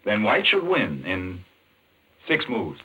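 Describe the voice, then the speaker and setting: Husky, polite gentleman doctor. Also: fairly low pitch, wildly swooping pitch, heavily acted. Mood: interested. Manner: polite, reserved, measured. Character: educated gentleman doctor, curious chess enthusiast. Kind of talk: 1940s radio mystery acting. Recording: muffled, band-limited 1940s radio recording.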